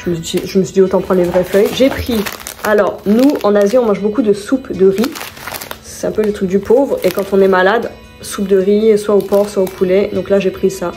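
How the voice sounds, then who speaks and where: A woman's voice: mid-range voice, a little fast, mellow, soft background music. A woman vlogging casually at home, chatting and rambling through her shopping haul, often stopping to correct herself and laughing at her own slips.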